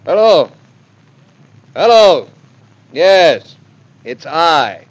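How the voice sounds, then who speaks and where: A man's voice: mid-range voice, expressive, a little slow, low-quality recording. An actor playing a young American husband and expectant father in 1940s radio drama style, affectionate and mock-stern, stammering a little. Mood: blustering, mock-indignant.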